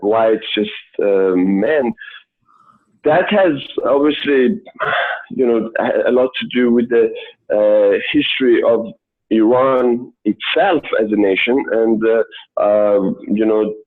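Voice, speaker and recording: A man who grew up in Sweden answers interview questions in a halting way, full of "uh" fillers. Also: lowish voice. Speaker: guest, Persian yoga instructor. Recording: tinny phone-like line.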